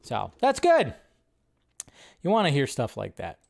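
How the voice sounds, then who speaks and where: A man hosting a casual live movie news show, rambling through his commentary in an animated way with exasperated asides. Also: medium pitch, a little slow, gravelly.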